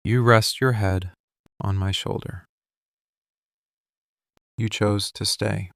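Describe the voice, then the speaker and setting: A man playing a possessive, tender mafia boss in a boyfriend ASMR roleplay, speaking soothingly and deliberately in short, hushed phrases. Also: lowish voice, steady tone, vocal fry, no echo, clean studio sound, exaggerated voice. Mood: tender, vulnerable. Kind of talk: boyfriend ASMR roleplay, slow intimate monologue.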